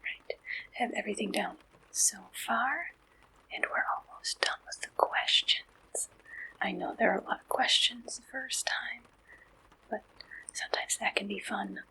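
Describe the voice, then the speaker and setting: A woman whispering breathy, gentle questions in an ASMR roleplay, as an interviewer taking down answers. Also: medium pitch, a little slow, poor audio. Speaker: ASMR roleplayer acting as a dating service interviewer.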